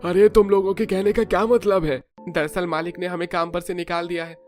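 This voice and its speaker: A man's voice: high voice, little pitch movement, a little fast, darkish tone, faint music behind, voice pushed up, lightly acted. A man voicing an earnest, worried young working man from a poor family in a cartoon.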